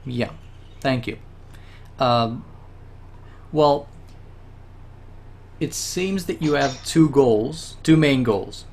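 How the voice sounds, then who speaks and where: An Israeli man with a middle-range voice speaks patiently over a video call. He explains things slowly, circling back with small restarts. A faint hiss sits under the line. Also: medium pitch, darkish tone. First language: Hebrew. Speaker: Hebrew tutor. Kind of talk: casual orientation call.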